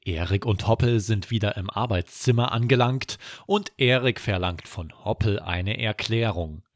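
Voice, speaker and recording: A man reading a scripted children's bedtime story as narrator, with expressive storytelling. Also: lowish voice, moderate pace, dry recording, studio quality.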